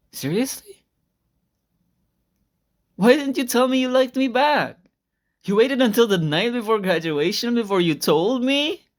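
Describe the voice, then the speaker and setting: Man playing a shy, earnest teenage boy. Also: high-pitched. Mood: surprised, flustered. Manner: soft-spoken, hesitant, conversational with sighs and small laughs. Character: shy, earnest high school senior boy confessing a crush. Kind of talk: intimate roleplay audio monologue to a listener. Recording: amateur recording without a proper microphone.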